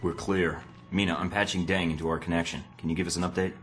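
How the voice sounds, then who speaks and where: A man's voice: sits low, fairly even intonation, fast talker, a bit bright, a little hiss or hum. A man voicing a cool, earnest secret agent in a video game, matter-of-fact and controlled. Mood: businesslike.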